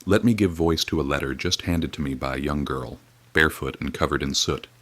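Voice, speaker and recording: An actor playing a weary, grim radio reporter, speaking in a solemn, measured, grave way. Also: male voice, a bass voice, steady tone, a bit bright, no echo. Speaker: field reporter. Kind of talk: dramatic period radio reporting.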